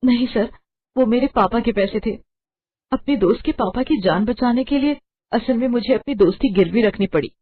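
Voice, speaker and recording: A woman's voice: fairly high pitch, normal speed, husky, pitched above the performer's own voice. A woman voicing a tearful young wife who begs a doctor to save her husband. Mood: pleading. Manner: desperate, sobbing pleas. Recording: tinny phone-like sound.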